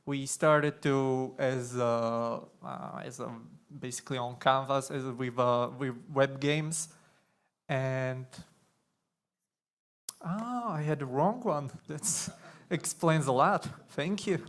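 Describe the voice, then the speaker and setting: A young man from Slovakia giving a conference talk on stage, nervous and halting, with many fillers and restarts. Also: medium pitch. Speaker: conference speaker.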